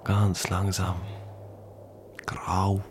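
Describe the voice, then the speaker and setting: Deep, brooding solitary soldier over guitar. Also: male voice, monotone, extremely slow. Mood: brooding, awed. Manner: hushed, fragmentary, contemplative musing. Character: brooding, solitary soldier remembering desert nights. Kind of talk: radio drama inner monologue. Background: plucked guitar music.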